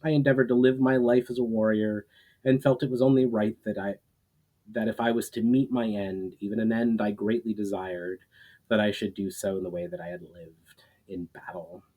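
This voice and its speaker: A man voicing a proud, repentant old warrior spirit in a storybook, speaking in a solemn, formal, measured way. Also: on the low side, steady tone, dark tone, natural voice, poor audio. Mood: proud, reflective. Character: Kiral, ancient male warrior spirit, proud, repentant, weary.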